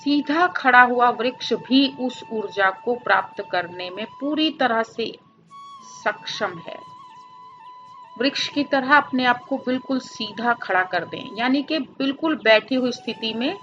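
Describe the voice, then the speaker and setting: A woman meditation teacher giving guided instructions gently, phrase by phrase, with pauses between them. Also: on the high side, muffled recording.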